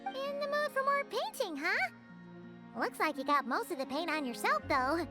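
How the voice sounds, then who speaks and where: A woman voicing a tiny, talkative, childlike fairy companion in a video game, squeaky and dramatic, full of exclamations. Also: sing-song, moderate pace, loud music behind the voice. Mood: cheerful, playful. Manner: squeaky, exclaiming, refers to herself in third person. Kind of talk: video game character dialogue.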